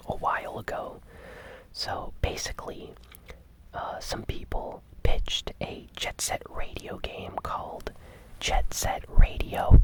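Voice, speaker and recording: ASMR host, breathy whisper, no voicing, gaming news commentary, soft, unhurried, informal. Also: rough recording.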